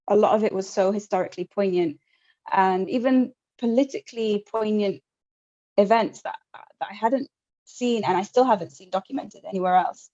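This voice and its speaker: Mellow-voiced woman author, lo-fi audio. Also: medium pitch. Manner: measured reading, then halting, thoughtful speech. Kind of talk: reading from her novel, then interview answers.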